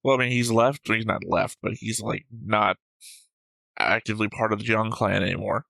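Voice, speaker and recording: A man's voice: on the low side, steady tone, moderate pace, creaky, a bit bright, no room sound. A man co-hosting a casual discussion show, going through notes in a dry, analytical way. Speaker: reaction show co-host.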